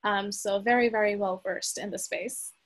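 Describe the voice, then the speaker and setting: A woman's voice: medium pitch, fairly even intonation, normal speed, poor audio. A woman moderating a panel, introducing herself and putting questions to the panelists.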